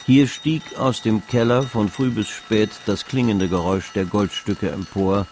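An actor narrating a literary radio play as a measured storyteller. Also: male voice, lowish voice, fairly even intonation, darkish tone, a little hiss or hum, natural voice.

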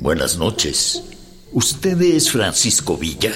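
An actor playing a scornful, commanding army general who conspires, in a measured radio drama style. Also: male voice, deep voice, wildly swooping pitch, exaggerated voice. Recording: faint music behind.

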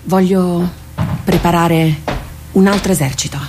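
An actress playing a proud, weary warrior captain in a radio drama, speaking in a measured, declamatory way. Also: female voice, fairly low pitch, steady tone, noisy background, close to an everyday voice. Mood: commanding. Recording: music under the voice.

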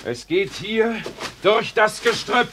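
A man's voice: sits high, lively intonation, takes it slowly, fairly bright tone, a little hiss or hum, heavily acted. An actor playing an uneasy, searching expedition man with an anxious, questioning manner, in a German radio play. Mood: wistful, determined. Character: uneasy, questioning male explorer, wistful and searching.

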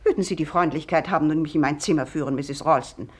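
A woman's voice: sits low, quick pace, husky. An actress playing an imperious, fault-finding older woman, stiffly formal and disapproving, in a 1950s German radio play.

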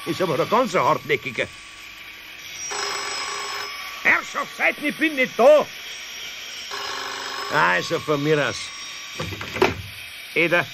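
Gravelly, excitable old Bavarian craftsman. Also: fairly high pitch, a little slow, pauses often, heavily acted. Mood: excited, grumbling. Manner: grumbling, excitable. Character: gruff, flustered, good-hearted old male craftsman. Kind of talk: 1970s children's radio play acting. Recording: noisy 1970s radio play recording.

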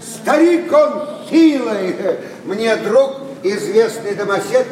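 An oily, boastful swindler in a classic verse comedy. His very high voice ingratiates itself slowly and theatrically from some distance on an echoing stage. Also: expressive, slight background noise. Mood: fawning boasting. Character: obsequious, boastful society swindler and fibber. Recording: distant echoey stage, loud music.

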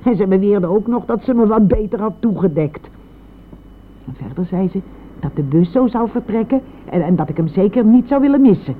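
A woman's voice: medium pitch, animated, moderate pace, phone-quality sound, close to an everyday voice. Radio play role: actress as wounded, insistent old mother, anguished and unyielding; thin, slightly noisy vintage sound. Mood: agitated, recounting. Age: older. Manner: insistent, aggrieved, dropping into near-whispers. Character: anguished, stubborn elderly mother of a grown son.

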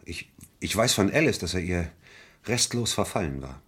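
Shifty murder suspect in vintage radio drama, husky lowish voice, defensive and begging to be believed. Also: male voice, animated, moderate pace, a bit bright, full character voice. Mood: desperate, defeated. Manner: defensive, hesitant, pleading. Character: evasive, cornered male murder suspect pleading for belief. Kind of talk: vintage German crime radio play acting.

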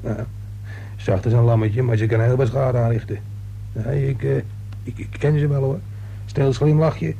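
Radio play caretaker, male actor: mellow gravelly voice, tired, colloquial, gossipy grumbler; noisy, humming old recording. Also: mid-range voice, steady tone, normal speed, pauses often, close to an everyday voice. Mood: gossipy, sly. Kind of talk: Dutch radio thriller acting.

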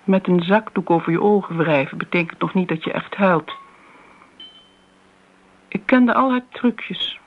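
An actress playing a disdainful older woman who reminisces briskly in a radio drama. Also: lowish voice. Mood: scornful. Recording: dull, noisy old radio play recording.

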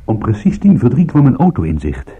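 A very husky male private detective, wry and calm under danger. His delivery is dry and understated but swings widely in pitch. It comes through a thin, phone-like old radio play recording. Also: fairly quick, heavily acted. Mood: grave, suspenseful narrating. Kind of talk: radio play acting with first-person narration.

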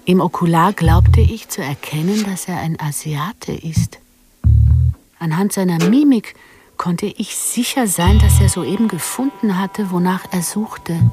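An actress as a low-voiced, coolly watchful mother. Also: a little slow, lightly acted. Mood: watchful, composed. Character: watchful mother and secret killer, coolly calculating. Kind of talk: radio drama inner monologue. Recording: music behind the voice.

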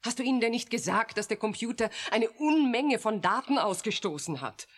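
A woman's voice: a little high, animated, moderate pace. An actress in a German radio drama playing a proud, defensive female scientist, self-assured and dismissive. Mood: alarmed, indignant.

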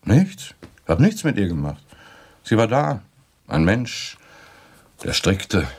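An actor in a radio play, playing a bitter, tipsy, rambling middle-aged man who is cynical about love, with a husky voice. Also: wildly swooping pitch, heavily acted. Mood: defensive. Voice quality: deep gravelly, husky voice. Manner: rambling, halting, grumbling, swinging into outbursts.

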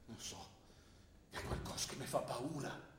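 An actor playing an ageing, gentle, worried father from the provinces, halting and homely, with a northern Italian Trentino accent. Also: mid-range voice, slight background noise, close to an everyday voice. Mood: anxious. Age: older. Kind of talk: stage drama acting in dialect. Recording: roomy stage sound, faint music.

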